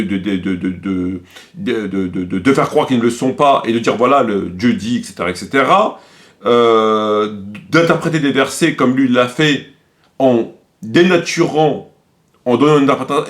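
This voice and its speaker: A man with a mid-pitched voice delivers unscripted commentary on religion and politics. He explains things step by step in a polemical, lecturing manner. His delivery is halting, with words repeated as he looks for the next phrase.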